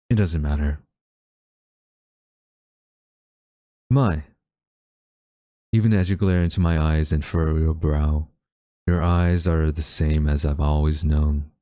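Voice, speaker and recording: A man's voice: rumbling low, mellow, dry recording, clean studio sound. A man acting a haughty government official with a secretly tender side, speaking formally and theatrically in a dramatic audio roleplay. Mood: wistful, weary. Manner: formal, theatrical, deliberate wording. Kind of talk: dramatic audio roleplay monologue addressed to listener.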